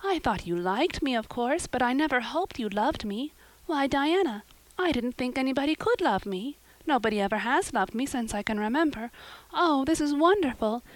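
A woman's voice: high-pitched, animated, normal speed, no room sound, voice pushed up. A woman voicing a romantic, dramatic young girl in an audiobook, speaking earnestly in flowery, old-fashioned phrases. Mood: wondering, rapturous. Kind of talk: audiobook character voice.

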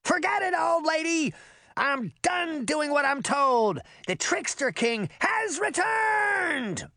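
A man voicing a cocky, mischievous fairy boy in a children's fantasy audiobook, boastful, taunting and loud. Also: extremely high pitch, very animated, a little slow, no room sound, studio quality, voice pushed up, heavily acted. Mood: defiant. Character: cocky mischievous immortal fairy boy, gleefully rebellious trickster.

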